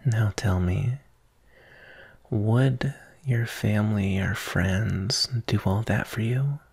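A man playing an obsessive, possessive, lovesick kidnapper in an ASMR roleplay, breathy and often near a whisper, speaking intensely and intimately. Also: lowish voice, a little mellow, heavily acted. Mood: tender, obsessive. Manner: slow, intense, intimate, addressing a captive darling.

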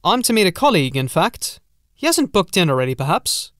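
A man plays a tired male traveller in a gothic audiobook. His voice is raised in pitch, polite and informal, with a slight hesitancy. It is recorded dry. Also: big pitch swings, a little fast, a bit bright, dry recording. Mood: polite, upbeat.

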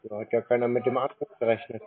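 A man's voice: medium pitch, level tone, fast, breathy edge. A man in his twenties taking a scam call, giving curt, dry, terse answers. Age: 20s. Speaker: call recipient. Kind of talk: phone call. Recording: tinny phone line.

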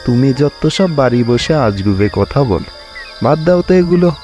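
A man's voice: mid-range voice, animated, measured pace, dark tone, faint music behind, voice pushed up, natural voice. A man voicing a stubborn, dismissive village father who fishes, in a horror cartoon story. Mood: irritated, scoffing. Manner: dismissive, scolding.

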